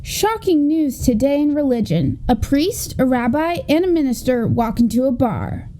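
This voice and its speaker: A woman's voice: high-pitched, big pitch swings, moderate pace, very noisy. A woman playing a chirpy TV news anchor with a mock newscast delivery. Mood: polished, upbeat.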